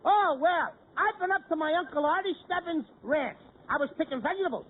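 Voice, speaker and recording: Squeaky high-voiced wisecracking radio comic. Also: male voice, lively intonation, brisk. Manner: brash wisecracking, sudden shouts. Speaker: comedian. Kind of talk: 1940s radio comedy. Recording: noisy old radio broadcast, narrow band.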